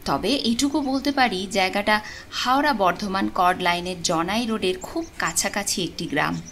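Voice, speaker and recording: A woman reads a literary story in a measured, expressive storytelling manner. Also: medium pitch, slight background noise. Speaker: audio story narrator. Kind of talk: scripted literary story narration.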